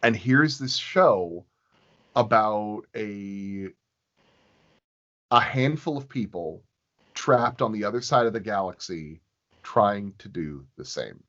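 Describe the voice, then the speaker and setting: Male podcast guest, lowish voice, very unhurried and halting, conversational. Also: animated, fairly bright tone. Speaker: podcast guest, sound designer. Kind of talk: casual interview chat. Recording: dull, lo-fi voice recording.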